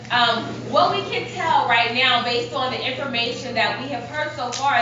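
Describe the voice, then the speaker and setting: A woman moderating a candidate forum in an informal, halting way, with many fillers. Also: high-pitched. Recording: echoey hall, distant mic, dull sound, background noise.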